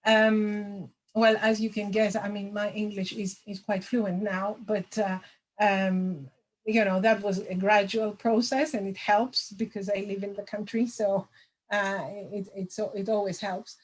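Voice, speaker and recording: A middle-aged woman, a lecturer answering audience questions over a video call, thoughtful with many pauses and fillers. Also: mid-range voice, flat delivery, poor audio. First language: Greek. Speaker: language assessment lecturer.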